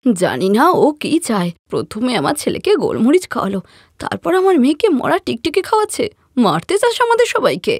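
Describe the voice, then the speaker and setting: A woman's voice: fairly high pitch, huge pitch swings, brisk, darkish tone, slightly rough, no room sound, studio quality, exaggerated voice. Woman playing a scolding, fretful mother-in-law. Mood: outraged. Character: scolding, doting mother-in-law, quick to blame. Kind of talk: Bengali cartoon story voice acting.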